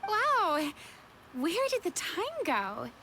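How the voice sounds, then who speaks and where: A woman's voice: extremely high pitch, wildly swooping pitch, very slow, bright, forceful tone, slight background noise, full character voice. An actress voicing a bubbly but wary young woman in a video game.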